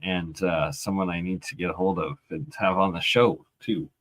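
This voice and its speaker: A male host chatting on a video call, speaking haltingly with many fillers. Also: low-pitched, fairly even intonation, fairly bright tone, no room sound. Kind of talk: casual chat.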